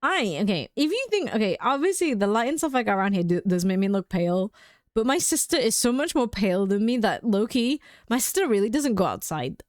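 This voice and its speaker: A woman's voice: medium pitch, sing-song, fairly quick, no echo. Giggly woman, dry close sound. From United Kingdom. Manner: chatty and giggly, many "like" fillers. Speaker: game streamer. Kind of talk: casual chat with stream viewers. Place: home streaming room.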